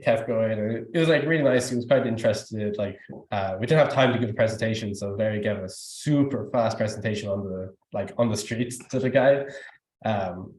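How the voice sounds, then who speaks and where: A man's voice: lowish voice, brisk, a little mellow. A young man with an Irish accent giving a rambling, informal testimony at a youth service, full of fillers and restarts. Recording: echoey room.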